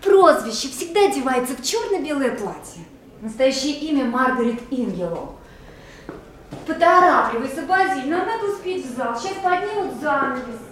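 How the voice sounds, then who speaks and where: A woman's voice: high voice, very animated, normal speed. An actress in an archival radio play as a knowing woman hurrying a friend through backstage. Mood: brisk guide. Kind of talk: 1970s radio play acting. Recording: echoey archival tape with hiss.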